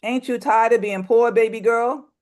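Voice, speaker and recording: A woman's voice: fairly high pitch, steady tone, normal speed, a little mellow, voice pushed up, lightly acted. A woman voicing a tired, stern older grandmother with a Southern accent, scolding in folksy sayings.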